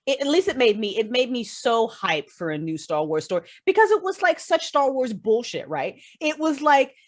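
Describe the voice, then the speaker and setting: A woman guest, a writer, talking casually on a podcast in an animated, opinionated, sweary way. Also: on the high side, normal speed. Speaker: guest, writer and creator of an audio drama.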